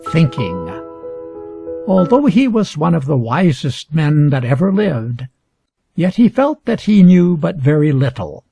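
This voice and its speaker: Man, educational story narration, deliberate emphasis, husky and creaky, close dry sound. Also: high voice, warm tone, no echo. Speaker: reader. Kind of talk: scripted storybook narration for English learners.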